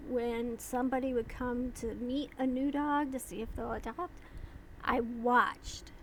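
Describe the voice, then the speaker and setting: Slow, gravelly-voiced woman vlogger. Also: a little high, steady tone, fairly bright tone, a little hiss or hum, rough recording. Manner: slow, rambling, with many pauses and restarts. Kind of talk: casual talk to camera.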